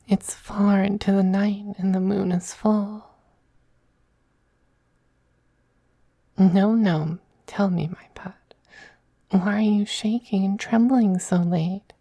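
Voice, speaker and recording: A man voicing a dominant but tender femboy vampire queen in a soft, slow, whispery ASMR roleplay, doting on a pet with condescension. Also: high voice, creaky, dry recording, studio quality, exaggerated voice. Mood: soothing, curious.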